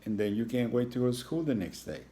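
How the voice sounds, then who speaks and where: A man reading a children's story aloud as a teacher, pausing for reassuring, informal explanations to his young listeners. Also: medium pitch, animated, mellow.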